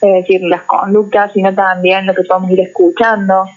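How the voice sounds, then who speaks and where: A woman psychologist, speaking as a guest in a radio interview, explaining in a measured way and often checking in with "¿no?". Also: medium pitch, level tone, darkish tone. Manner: measured, explanatory, tags phrases with "¿no?". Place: radio studio. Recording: muffled lo-fi radio recording.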